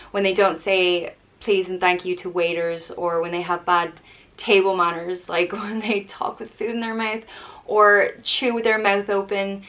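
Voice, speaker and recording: A woman's voice: medium pitch, a bit bright. A young woman from Ireland with an Irish accent tinged with Canadian, chatting casually as a vlogger, reading out questions and answering them with light laughs. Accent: Irish with a Canadian-tinged lilt. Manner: chatty, reads each question aloud then answers it, laughs lightly between thoughts.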